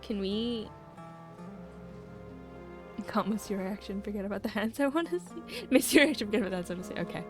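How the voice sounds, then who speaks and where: A woman's voice: mid-range voice, fairly quick, pauses often. A young woman doing casual live gameplay commentary as a streamer, playful and joking with mock-dramatic asides. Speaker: video game streamer. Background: faint to clear game music.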